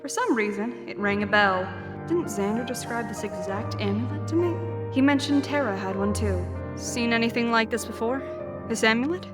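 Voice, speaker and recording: A woman's voice: a little high, animated, normal speed, natural voice. A woman playing a blunt, coarse-tongued young knight investigating murders in a fantasy audio drama. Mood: puzzled, curt. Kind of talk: fantasy audio drama acting and first-person narration. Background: music.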